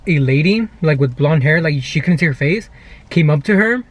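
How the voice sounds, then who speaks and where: A young adult man with a high voice talks in a loose, chatty vlog style. He tells stories casually as a co-host, speaking to a camera microphone inside a car. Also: lively intonation, normal speed, highs cut off. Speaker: vlogger, channel co-host.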